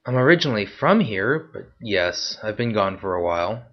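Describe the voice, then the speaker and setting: A man's voice: on the low side, very animated, moderate pace. A man voicing a weary, guarded male war veteran who speaks tersely. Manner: terse and restrained. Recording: dull lo-fi recording.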